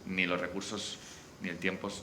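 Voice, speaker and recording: A man with a Spanish accent from Spain speaks on a discussion panel, unscripted, often halting and restarting his phrases. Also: low-pitched, monotone, sharp tone. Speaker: panelist, series director. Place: live forum venue. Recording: echoey room, faint background noise.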